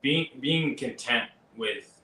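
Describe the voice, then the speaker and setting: Bright-voiced male wrestler, off-mic and echoey. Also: medium pitch, slow, distant mic, slight background noise. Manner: hesitant, searches for words. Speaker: wrestler, interview guest. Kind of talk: casual interview chat.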